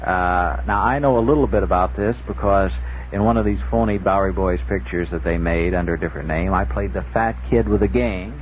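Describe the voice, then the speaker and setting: A man talking casually but insistently as a guest on a 1960s radio panel, often halting as he searches for words. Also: deep voice. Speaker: interview guest, author and old-radio collector. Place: radio studio. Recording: muffled, noisy 1960s radio broadcast recording.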